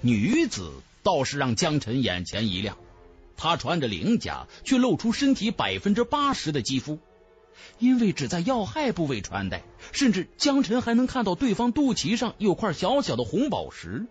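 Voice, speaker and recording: A man's voice: on the high side, wildly swooping pitch, moderate pace, slightly rough, faint music behind. A man reading a fantasy novel as a dramatic audiobook narrator.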